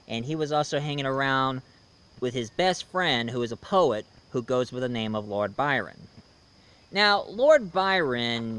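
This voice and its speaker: A man hosting a casual, unscripted review, talking in a halting, rambling storyteller's way. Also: medium pitch, fairly bright tone, clear, resonant tone. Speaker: host, film and book reviewer. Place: outdoors. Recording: noisy outdoor recording with insects.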